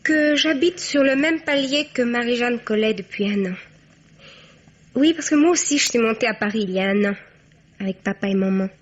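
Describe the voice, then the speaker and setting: An actress plays a young woman blackmailer in a radio crime play. Her voice is fairly high, chatty and full of sly, mock-innocent taunts. The sound is dull and band-limited. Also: normal speed, close to an everyday voice. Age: young adult. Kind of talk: French radio crime drama acting.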